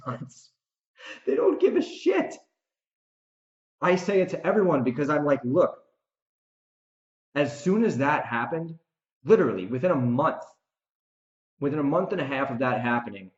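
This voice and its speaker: An American man hosting a solo podcast, ranting casually and emphatically, speaking straight to the listener with strong opinions. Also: mid-range voice. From United States. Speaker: podcast host and author. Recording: dull, echoey room recording.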